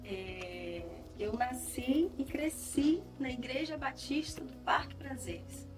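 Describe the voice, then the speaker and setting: A woman's voice: high voice, slow pace, music in the background. A woman in her forties with a Brazilian Portuguese accent, a gospel singer sharing her testimony in an earnest, reflective way. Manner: soft-spoken, earnest, reflective. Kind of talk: personal testimony in an interview.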